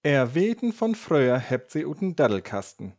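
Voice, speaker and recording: A man reading a listener's podcast intro in a Low German dialect. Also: very animated, moderate pace, darkish tone.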